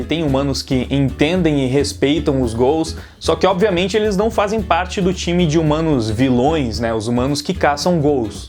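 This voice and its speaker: A man's voice: sits high, a little mellow. A man with a Brazilian accent hosting a casual, scripted video review in an informal, conversational, slangy way. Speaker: YouTube host and anime reviewer.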